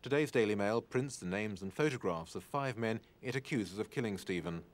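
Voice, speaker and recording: A man's voice: fairly low pitch, animated, fairly quick. A British man narrating a scripted news report in an archive clip.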